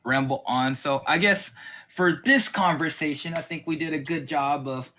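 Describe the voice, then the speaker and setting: A man in a casual, opinionated chat as host, talking in an animated, exasperated way and slipping into mocking quotes. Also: on the high side, moderate pace. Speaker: host of a fan discussion series.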